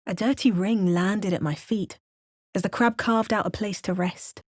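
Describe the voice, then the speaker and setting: A woman voicing a wry, watchful female adventurer for a video game. She makes understated remarks and narrates events calmly, like a storybook. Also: mid-range voice, moderate pace, dry recording, studio quality, natural voice. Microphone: close studio mic.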